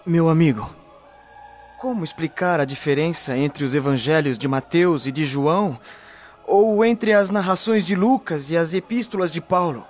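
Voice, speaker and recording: A man playing an earnest believer asking questions, his voice sitting a little high. The recording is dull, with soft music behind. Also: moderate pace, lightly acted. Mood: inquiring. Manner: inquiring. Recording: dull lo-fi audio with faint music.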